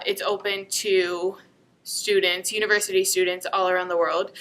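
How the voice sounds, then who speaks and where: Bright-voiced young woman, fairly low. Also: low-quality recording. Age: young adult. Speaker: student club co-president being interviewed. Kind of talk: casual interview talk.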